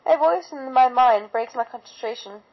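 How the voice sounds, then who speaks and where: A woman's voice: high-pitched, moderate pace, lo-fi sound. A woman reading a first-person fan fiction story aloud with dramatic narration.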